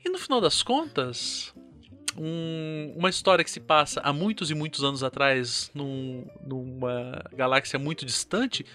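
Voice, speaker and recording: A man with a Curitiba accent hosting a casual podcast chat, talking in an animated, informal way. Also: on the high side, a little slow, faint music behind. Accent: Curitiba Brazilian Portuguese.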